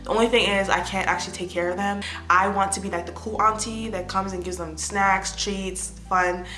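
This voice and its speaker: A young American woman in her twenties chatting casually to the camera as an influencer, answering fan questions with animated bursts of excitement. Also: mid-range voice, normal speed, reverberant, soft background music, poor audio. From United States. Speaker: lifestyle influencer. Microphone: phone or tablet camera.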